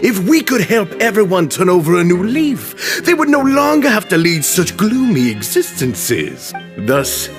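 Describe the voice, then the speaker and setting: An eager, deferential club founder. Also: male voice, high-pitched, wildly swooping pitch, measured pace, hardly any pauses, faint music behind, exaggerated voice. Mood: earnest. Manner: deferential, upbeat and a little flowery. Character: earnest middle-aged male club founder, courteous and idealistic. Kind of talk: video game voice acting.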